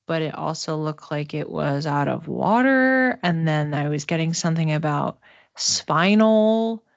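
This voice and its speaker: A woman with a low voice gives an intuitive reading as a psychic medium. She speaks off the cuff, feeling her way haltingly from one phrase to the next. The sound is a little dull and roomy. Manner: tentative, halting, searching for words. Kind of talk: intuitive reading, spoken off the cuff to a live chat.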